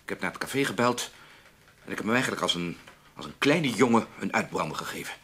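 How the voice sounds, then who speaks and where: A man's voice: lowish voice, lively intonation, fast talker, fairly bright tone, slightly rough. A man with a Netherlands Dutch accent playing a stern, self-justifying middle-aged newspaper chief in a radio play. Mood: indignant, confiding. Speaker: radio drama actor. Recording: faint background noise.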